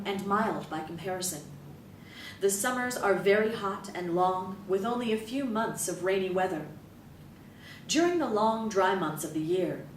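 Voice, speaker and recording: A woman gives a measured, deliberate reading of a first-person story. Her voice sits at an ordinary middle pitch and carries a noticeable room echo. It is caught from some distance off the mic, with a faint low rumble underneath. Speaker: reader. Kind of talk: reading a novel excerpt aloud.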